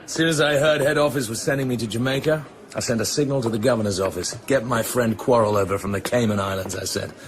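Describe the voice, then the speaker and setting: A man's voice: mid-range voice, quick pace, hardly any pauses, background noise, close to an everyday voice. A man acting in a radio drama as a terse, wary British secret agent who speaks in a clipped, commanding, guarded way.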